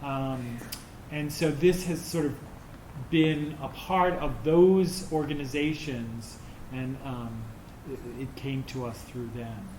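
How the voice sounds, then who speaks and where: A middle-aged or older man answering audience questions informally as a theater director, haltingly searching for words. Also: mid-range voice. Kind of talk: informal post-show Q&A. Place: roomy indoor performance space. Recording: echoey room with clear background noise.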